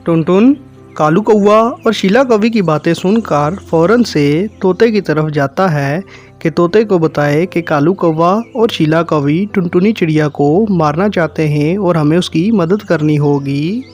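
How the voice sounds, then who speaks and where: A man's voice: a little high, a little slow, a little mellow, faint music behind. A man reading scripted narration for a children's cartoon story.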